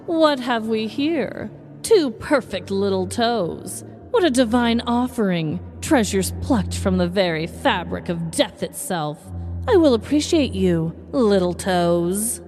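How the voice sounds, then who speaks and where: A woman's voice: medium pitch, animated, slow pace, a little mellow, faint music behind. A woman playing a scheming, morbid, oddball middle-aged shelter worker in a comedic story, theatrical and falsely sweet. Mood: gleeful, sinister.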